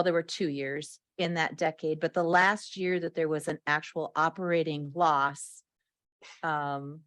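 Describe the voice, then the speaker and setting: A woman presenting to a county board, explaining a document conversationally with restarts and pauses. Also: deep voice, no echo. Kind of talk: explaining a document at a public meeting.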